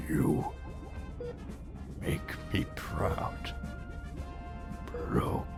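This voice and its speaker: A man voicing a commanding, solemn old Jedi master with grave, halting delivery in a fan comic dub. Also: loud music behind the voice. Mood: weak, solemn.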